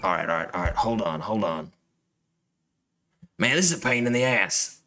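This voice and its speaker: A man's voice: lowish voice, animated, normal speed, background noise. A man giving casual, profane, grumbling commentary while he plays a video game. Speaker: gaming streamer playing and commenting on a game. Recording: game music behind the voice. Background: video game music.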